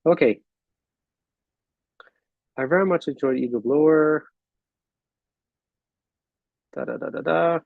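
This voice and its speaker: Host, male, gravelly, hesitant and thinking aloud, dry close video-call sound. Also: on the high side, no room sound, phone-quality sound. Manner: halting, thinking aloud with fillers and restarts. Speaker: host of an online business forum. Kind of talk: casual screen-share walkthrough.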